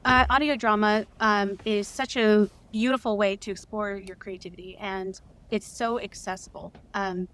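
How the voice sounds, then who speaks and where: Female guest, creator, middle pitch, enthusiastic and chatty. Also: fairly even intonation, normal speed, fairly bright tone, poor audio. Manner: enthusiastic, frequent ums. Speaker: guest, audio drama co-creator and sound designer. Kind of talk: casual interview answer.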